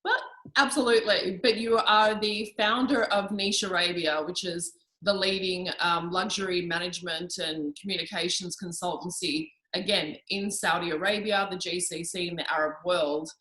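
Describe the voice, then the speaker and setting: A woman's voice: on the low side, fairly even intonation, moderate pace, reverberant, rough recording. A woman hosting a video-call interview, encouraging and affirming her guest often. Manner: warm and encouraging, affirms often with "I know" and "absolutely". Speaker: interview host.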